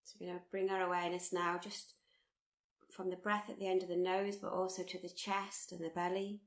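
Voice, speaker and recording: A woman teaching guided meditation, speaking in a soothing, gently encouraging, unhurried way with repeated phrases. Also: fairly low pitch, little pitch movement. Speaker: meditation teacher leading a body scan. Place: live workshop.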